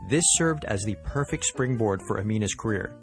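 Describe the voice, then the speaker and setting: A man speaks as a podcast host and interviewer. His voice sits somewhat low, with light music in the background. The delivery is that of a presenter introducing and questioning a guest. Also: animated, moderate pace.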